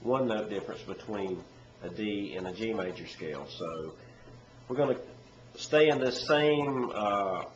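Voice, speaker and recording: A man with a head cold and a stuffy nose, teaching banjo in a relaxed, rambling way with many pauses. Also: lowish voice, slight background noise. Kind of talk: casual instructional talk. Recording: echoey room with dull, lo-fi sound. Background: loud banjo playing.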